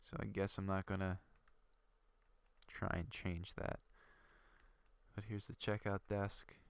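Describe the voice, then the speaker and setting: A young man with a low, gravelly voice and a stuffy, congested nose narrates his building game in a loose, rambling way. His words come out smooth and close-up, in a dry room with no echo, broken by fillers and half-finished thoughts. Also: level tone, slow. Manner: rambling, thinking aloud with many fillers. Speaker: gaming video creator. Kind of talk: casual gameplay commentary.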